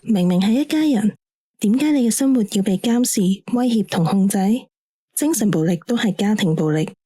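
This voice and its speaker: An actress reading an earnest public service ad for a helpline, with a Hong Kong Cantonese accent and a reassuring manner. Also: female voice, mid-range voice, lively intonation, moderate pace, dark tone, breathy edge, dry recording, clean studio sound.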